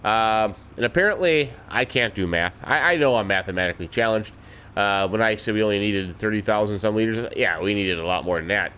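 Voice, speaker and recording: Chatty folksy guy talking through his farm game, lowish voice, bit stuffy nose, faint hiss behind. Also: brisk, pushed and bright. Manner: folksy, chatty, mild exclamations. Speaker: let's play host. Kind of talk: casual gameplay commentary.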